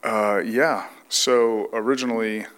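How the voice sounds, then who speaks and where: A man's voice: fairly low pitch, fairly even intonation, unhurried, fairly bright tone, clear, resonant tone. A young man from California, congested and under the weather, telling his life story in a plain, reflective way. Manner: reflective, plain-spoken, restarts often. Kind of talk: testimony interview.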